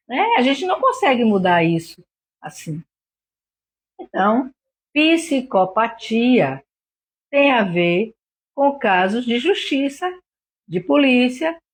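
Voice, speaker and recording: A slightly hoarse woman with a Brazilian accent, a doctor hosting an informal live stream, explaining things didactically with frequent check-in tags. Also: fairly high pitch. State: a little hoarse. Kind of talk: informal explanatory talk to online viewers.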